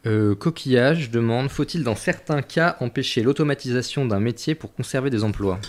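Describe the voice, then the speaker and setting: A man's voice: medium pitch, normal speed, slight background noise. A man reading viewer questions and donations aloud to the guest, with brief interjections.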